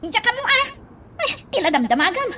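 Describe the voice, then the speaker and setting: A woman's voice: very high-pitched, sing-song, a little fast, noisy background. A woman acting a bossy, impatient elder in an Ilocano radio drama, insistent and exasperated. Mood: demanding. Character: bossy, impatient older sister figure, moody and pushy.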